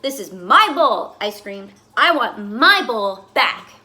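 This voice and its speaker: A fussy, put-upon goldfish voiced by a woman tells its diary as a children's storybook. The voice sits high and swings widely in pitch. The delivery is theatrical and expressive, like someone reading aloud to children. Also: takes it slowly, full character voice. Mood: exasperated, fed up. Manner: dramatic, exasperated, expressive storytelling. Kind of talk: expressive children's picture book read-aloud.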